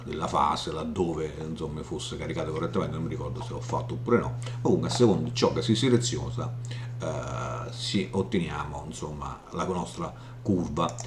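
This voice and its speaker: A man giving an informal software walkthrough, explaining haltingly and often checking in as he goes. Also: very deep voice. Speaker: software tutorial presenter. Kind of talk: informal screen demo walkthrough. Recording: roomy echo, audible background hum noise.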